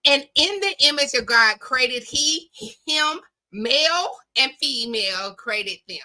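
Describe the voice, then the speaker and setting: A woman's voice: sits high, lively intonation, slow pace, bright, forceful tone, poor audio. A woman from the southern United States giving a casual, faith-based motivational talk as a studio guest, emphatic, folksy and preacherly. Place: radio studio.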